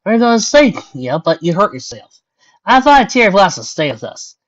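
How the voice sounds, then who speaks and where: A woman's voice: mid-range voice, sing-song, moderate pace, voice pushed up. A woman voicing a forthright, teasing, down-to-earth female farm pony in a story reading. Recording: dull lo-fi recording.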